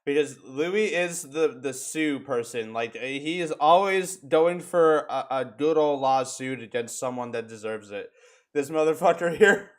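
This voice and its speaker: A man's voice: mid-range voice, measured pace. A man bantering casually on a podcast in a teasing, profane, informal way.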